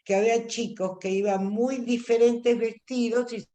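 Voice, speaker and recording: A woman's voice: a little high, fairly even intonation, moderate pace, dark tone. A woman teaching an online class, explaining at length and checking that students follow. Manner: explanatory, asks if understood.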